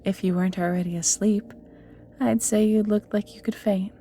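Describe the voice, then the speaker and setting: Woman acting ancient banished villainess, musing and breathy. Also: mid-range voice, fairly even intonation, normal speed, warm tone, faint music behind, lightly acted. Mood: teasing menace. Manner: musing, theatrical, often drops into breathy near-whispers. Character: ancient banished evil magical being, flirty and world-weary. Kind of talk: scripted audio roleplay acting, addressing the listener.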